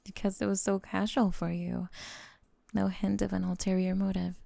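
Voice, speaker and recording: A young woman playing a lovestruck, possessive lamia roommate in an intimate ASMR roleplay, whispering slowly and tenderly in a breathy, coaxing way. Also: medium pitch, little pitch movement, mellow, no echo. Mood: fond, wistful.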